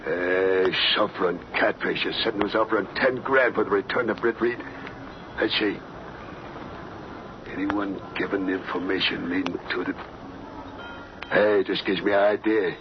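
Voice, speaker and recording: A man playing an impatient, resentful ex-boxer thug in a 1940s radio drama, talking rough gangster slang. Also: low voice. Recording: muffled, noisy 1940s radio.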